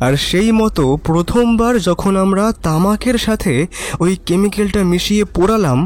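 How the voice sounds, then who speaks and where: A man plays a young neighbourhood accomplice in a horror audio drama. His voice is high, and he speaks in earnest street slang, sounding bewildered by events. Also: a little mellow, natural voice. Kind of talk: audio horror story acting.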